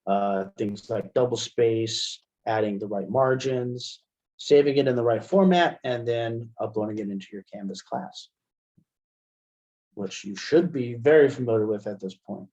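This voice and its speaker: Muffled, mellow American man teaching software. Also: medium pitch, measured pace. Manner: explanatory, step-by-step. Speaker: assistive technology trainer. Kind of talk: casual webinar software demonstration. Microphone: headset.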